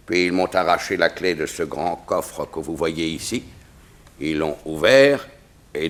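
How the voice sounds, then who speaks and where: A dignified male chamberlain of a royal court in a 1960s French radio serial. His voice is very low, and he explains things with formal, grave precision.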